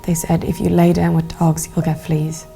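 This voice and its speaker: An actress playing a frightened seventeen-year-old girl in a dramatised testimony, subdued and plain in short halting sentences. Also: low voice, monotone, vocal fry, mellow. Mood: subdued, hurt. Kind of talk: dramatised first-person testimony. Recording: music behind the voice.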